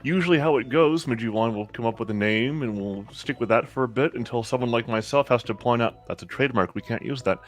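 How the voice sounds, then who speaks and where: A man's voice: medium pitch, fast talker, few pauses. An American man chatting on a livestream, telling stories with stammering restarts and frequent laughs. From United States. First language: American English. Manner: animated storyteller, stammering restarts, laughs often. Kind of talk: casual livestream chat.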